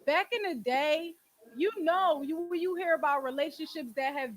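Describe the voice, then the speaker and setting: A woman's voice: high-pitched, moderate pace. A woman, talking candidly and emphatically about personal matters in slang with plenty of swearing. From Philadelphia, United States. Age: 20s. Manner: blunt, emphatic, street slang, frequent swearing. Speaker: podcast and call-in show host. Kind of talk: casual, candid relationship talk.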